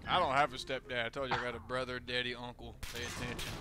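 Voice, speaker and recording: A man's voice: medium pitch, flat delivery, fast talker. A man gaming online, joking and teasing other players over voice chat. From Puerto Rico. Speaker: gamer. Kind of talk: trash-talking game chat. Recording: game music behind voice.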